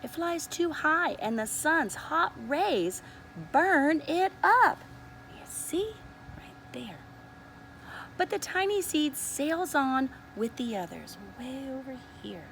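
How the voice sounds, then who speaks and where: Woman, preschool teacher, high voice, very animated picture-book reading, soft asides to young listeners, faint background noise. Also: poor audio. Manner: expressive, deliberate, often pausing to ask the listener to look. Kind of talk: picture-book read-aloud to young children.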